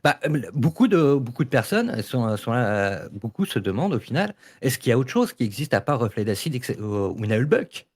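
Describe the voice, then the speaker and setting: Male debater, medium pitch, informal and opinionated, halting delivery with repeated words. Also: big pitch swings, darkish tone. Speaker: audio fiction debate participant. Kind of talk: casual opinionated debate talk.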